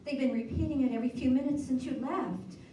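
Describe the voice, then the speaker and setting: A gentle, worried wife in a radio play, played by a woman, hesitant and peacemaking. Her voice is fairly high but mellow, set back in a very reverberant room. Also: fast, close to an everyday voice. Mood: urgent. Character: gentle, anxious, conciliatory wife. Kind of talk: radio drama acting. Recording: very echoey room, distant, faint noise.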